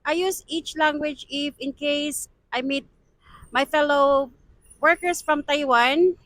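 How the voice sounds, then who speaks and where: Filipino woman, high voice, dry close sound, street interviewee. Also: fairly even intonation, slow, a bit bright, dry recording. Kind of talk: casual answers to a street interview.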